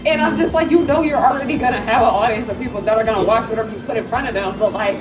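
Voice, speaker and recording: A woman's voice: on the high side, racing. A woman chatting casually and hesitantly from the audience, saying "like" often. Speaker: audience member, comic creator. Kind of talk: casual chat, sharing a worry. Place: convention panel room. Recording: distant mic, clear room noise.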